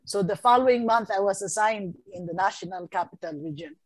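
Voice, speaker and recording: A woman in her sixties with a Filipino accent, recalling her long career in measured, hesitant speech. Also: mid-range voice, husky, no echo. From Philippines, Mindanao. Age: 60s. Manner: measured, hesitant with many fillers. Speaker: retired education official, guest. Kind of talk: reflective interview answers.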